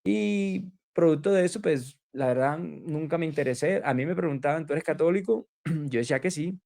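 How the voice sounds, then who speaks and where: A man's voice: a little high, moderate pace, creaky, darkish tone, dry recording, studio quality. A man sharing his personal faith testimony in an interview, hesitant and full of fillers and restarts.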